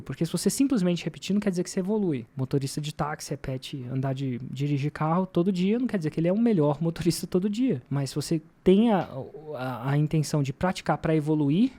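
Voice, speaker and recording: A man's voice: a little high, mellow. A man with a Brazilian Portuguese accent talks casually as a podcast host. He explains and tells anecdotes in a self-deprecating, informal way. He often repeats a phrase to drive it home. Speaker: podcast host and marketing teacher.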